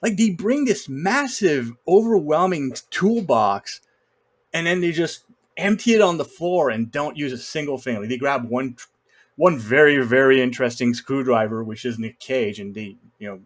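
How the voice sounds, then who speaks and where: A man's voice: medium pitch, animated, moderate pace. A man giving a casual, opinionated film critique, arguing his points emphatically. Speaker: film podcast co-host or guest.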